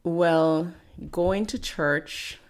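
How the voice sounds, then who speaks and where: A middle-aged woman from Jamaica with a thick Jamaican accent, a pastor answering interview questions in a measured way with frequent pauses. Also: lowish voice. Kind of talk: podcast interview guest.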